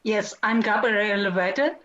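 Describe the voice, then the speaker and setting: Slow woman. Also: medium pitch, fairly bright tone. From Germany. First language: German. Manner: slow, halting, simple phrasing. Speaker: participant. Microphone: video call. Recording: dull lo-fi call audio with faint noise.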